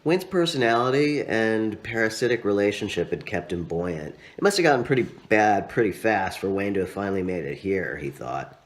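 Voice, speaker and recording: Deep-voiced man reading with lively pitch. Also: normal speed, hardly any pauses. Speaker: author reading own story. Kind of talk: literary narration, read aloud.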